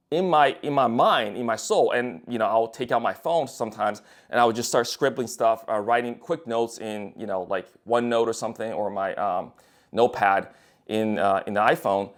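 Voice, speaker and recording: Young guy ranting casual to camera, swears a lot, rambles and restarts, mid pitch. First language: English. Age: young adult. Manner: blunt, profane, rambling with restarts. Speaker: writer and designer.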